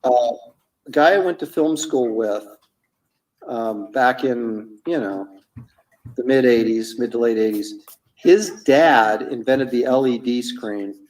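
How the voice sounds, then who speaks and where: A man's voice: medium pitch, slow, darkish tone, distant mic, rough recording. Man in his fifties, casual rambling storyteller, mid-range voice, mic a little off. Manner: rambling, anecdotal. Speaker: comic artist streaming while inking. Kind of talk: casual chat while drawing.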